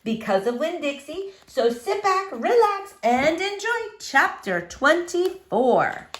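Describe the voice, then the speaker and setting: A woman reading a children's novel aloud as expressive story narration. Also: sits high, takes it slowly. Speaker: storybook reader.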